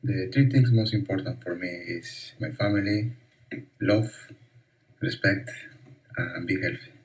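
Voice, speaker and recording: A man's voice: low-pitched, a little slow, poor audio. A man from Bolivia giving a brief, plain personal statement.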